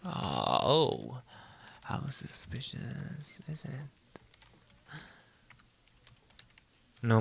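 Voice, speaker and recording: A man acting a slow, whispery jealous boyfriend. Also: medium pitch, fairly bright tone, full character voice. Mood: suspicious, accusing. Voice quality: breathy, often dropping into whisper. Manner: theatrical, slow, drawn-out interrogating with dramatic pauses. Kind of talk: ASMR roleplay, close whispering and soft speech.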